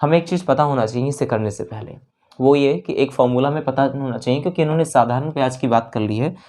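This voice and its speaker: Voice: medium pitch, fast talker, dark tone. An Indian maths teacher explains an exam problem step by step, repeating phrases and figures, mixing in English terms and often checking in. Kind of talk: exam-prep maths lesson, working through a problem step by step.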